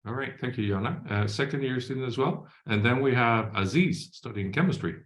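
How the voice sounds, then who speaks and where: A man's voice: medium pitch, fairly quick. A man moderating an online university Q&A over a video call, with many hesitations and fillers. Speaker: webinar moderator. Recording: roomy echo.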